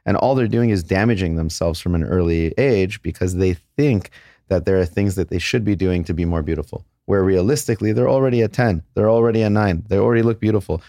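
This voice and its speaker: Blunt low-voiced surgeon, dry mic. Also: male voice, fast, mellow, no echo. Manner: blunt, candid, with crude jokes and laughs. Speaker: plastic surgeon. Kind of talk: casual podcast interview.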